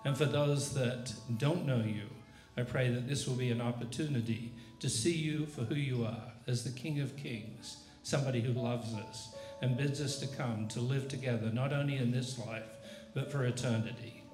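A man with a mid-pitched voice prays aloud as a pastor, in reverent, measured phrases. The church is echoey, and guitar music plays clearly behind him. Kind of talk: extemporaneous public prayer. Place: church sanctuary during live service.

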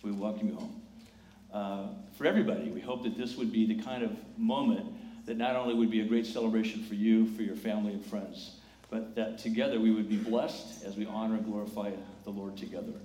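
A man speaking as a pastor, welcoming and encouraging a congregation in measured phrases during a live church service. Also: on the low side, fairly even intonation, dark tone. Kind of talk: church welcome and scripture reading. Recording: echoey church sanctuary, clear background noise.

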